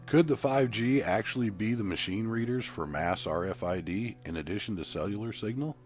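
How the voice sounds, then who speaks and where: A man with a very low voice narrates a written story in a steady, even reading tone. Soft background music runs faintly underneath. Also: fairly quick.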